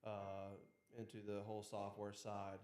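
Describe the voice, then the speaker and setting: A man's voice: on the low side, completely flat delivery, darkish tone. A man hosting a podcast, chatting casually and teasing his guest. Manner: teasing, jokey, drawn-out. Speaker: podcast host. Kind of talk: casual chat. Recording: echoey room.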